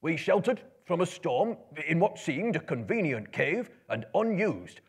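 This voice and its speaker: A man performs a proud dwarf leader from a storybook. The voice is husky and raised, with a lively rise and fall, stiffly polite and declamatory. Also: fairly high pitch, measured pace, voice pushed up, exaggerated voice. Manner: formal, declamatory, evasive courtesy.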